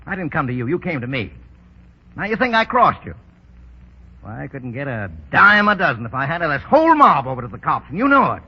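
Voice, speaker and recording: An actor playing a cocky, shrewd racketeer in a 1930s radio drama, sardonic and full of clipped slang. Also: male voice, fairly high pitch, sing-song, brisk, slightly rough, full character voice. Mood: forceful. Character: cocky, shrewd tough racketeer, wry and commanding. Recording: dull old 1930s radio broadcast.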